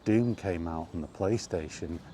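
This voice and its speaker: Guy with a low voice just rambling casually on a walk outside, stops and starts, bit of wind noise. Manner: rambling, conversational, halting pauses. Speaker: gaming vlogger. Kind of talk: casual rambling chat while walking. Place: outdoors, walking. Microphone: microphone with wind guard. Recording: windy outdoor recording, background noise.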